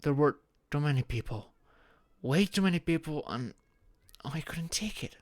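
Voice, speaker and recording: A young man playing a shy, anxious friend in an intimate audio roleplay, speaking haltingly with sighs and murmurs. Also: on the high side, expressive, a little mellow, no room sound, natural voice. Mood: uneasy, halting. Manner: halting, sighing, breathy murmurs rising to tearful outbursts.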